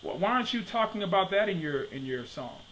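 A man's voice: high-pitched, very animated, fairly quick, fairly bright tone, slight background noise, poor audio. A man in his thirties from Detroit, giving an emphatic preaching-style commentary to a webcam in his home study and repeating his questions for force. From Detroit, USA. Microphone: webcam at desk.

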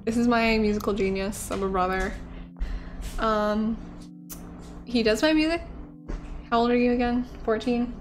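A woman's voice: on the high side, fairly even intonation, normal speed, pauses often, soft background music. A young woman streaming from her living-room couch into a studio mic, rambling casually with lots of likes and ums. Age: young adult. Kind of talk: casual chat. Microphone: studio mic on a stand.

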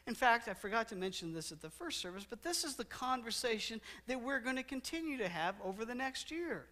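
A middle-aged man preaching a sermon in a church sanctuary, walking the stage, gesturing, and slowing down to stress repeated words. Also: very high voice, big pitch swings, sharp tone. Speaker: pastor.

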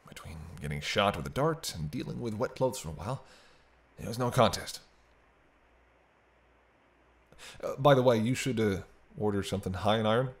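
A man's voice: on the low side, expressive, fairly quick. A man playing a teasing, century-old vampire in an intimate roleplay audio drama, bantering wryly with hesitant asides. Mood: hesitant, defensive. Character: teasing century-old male vampire, sardonic but soft-hearted.

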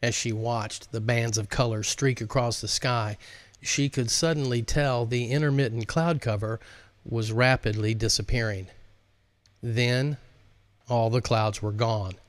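A man in his fifties speaks close to a studio microphone with a dry, echo-free sound. His voice sits at an average male pitch, suited to informal live-stream hosting and audiobook narration. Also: clear, resonant tone. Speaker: author and host reading his audiobook. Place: indoor studio, close up, virtual backdrop. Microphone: large microphone on a stand in front of him.

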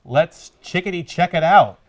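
A man's voice: on the high side, expressive, a little slow, recorded from a distance. A man co-anchoring a student news show, reading school announcements in a studio. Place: studio with curtain backdrop.

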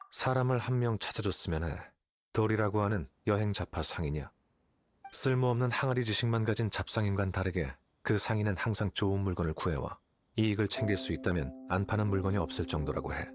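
An actor playing an aloof, calculating young scholar in a video game, speaking in a detached, measured, condescending way. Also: male voice, lowish voice, close to an everyday voice. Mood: cool, bargaining. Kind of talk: video game character dialogue. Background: game music.

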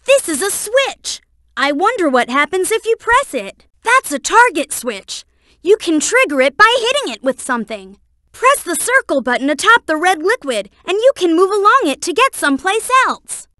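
Game role: young boy fox sidekick, very high, lively, eager and clever, careful explainer, dry studio sound. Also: normal speed, dry recording, heavily acted. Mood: earnest, helpful. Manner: earnest, instructive, explains carefully. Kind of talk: video game voice acting.

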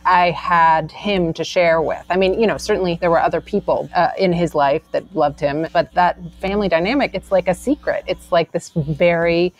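A woman's voice: on the low side, fairly even intonation, moderate pace, hardly any pauses. A woman, a director appearing as a guest, talking reflectively and casually.

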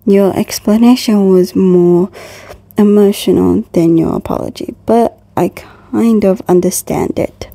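A woman's voice: medium pitch, unhurried, creaky, warm tone, no room sound. A woman voicing a wary, aggrieved young male turtle in a fan comic dub, wordy and dry in manner. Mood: reserved.

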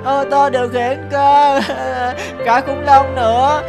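A woman voicing a spoiled, demanding little boy with a whiny, drawn-out delivery in an animated cartoon. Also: sits high. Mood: whining. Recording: background music under the dialogue.

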